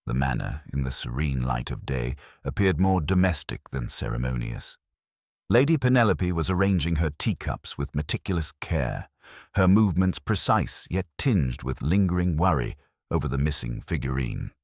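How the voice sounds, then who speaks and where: A man reading a scripted mystery story in a measured, formal storytelling manner. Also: a bass voice, big pitch swings, no echo, clean studio sound. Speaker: audiobook narrator.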